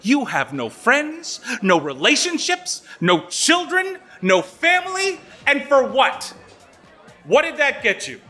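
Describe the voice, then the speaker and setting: A volatile, tightly wound office associate in an audio drama. His high voice is sharp and swings dramatically, at a slow, deliberate pace. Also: sing-song, soft background music, heavily acted. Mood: indignant, exasperated.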